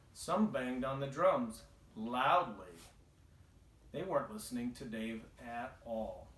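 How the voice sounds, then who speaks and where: A man's voice: medium pitch, a bit bright. A man reading a children's storybook aloud as the narrator. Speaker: storybook reader. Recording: echoey, roomy home recording.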